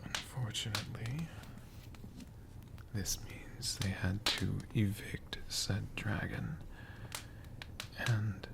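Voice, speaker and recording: A man in a friendly dragon persona, telling stories in a slow, hushed ASMR whisper with playful asides to the listener. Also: fairly low pitch, vocal fry.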